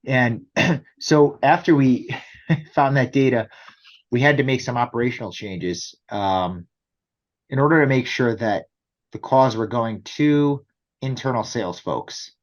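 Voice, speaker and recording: Slightly muffled, lo-fi audio carries a man's voice at an average pitch. He explains things conversationally as a company executive being interviewed, often checking in with short rhetorical questions. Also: a little slow.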